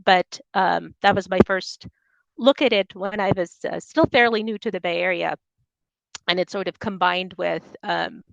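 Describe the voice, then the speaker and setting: A woman's voice: fairly low pitch, normal speed, gravelly, no echo, dull audio. A woman from Soviet Ukraine speaking as a poet at a reading, presenting her own work. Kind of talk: poetry reading with informal introductions.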